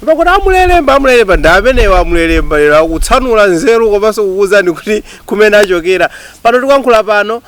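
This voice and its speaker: A man's voice: high-pitched, animated, rapid-fire, few pauses, fairly bright tone. A Malawian man hosting an interview show in a conversational style, introducing his guest and chiming in. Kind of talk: conversational hosting with frequent backchannels.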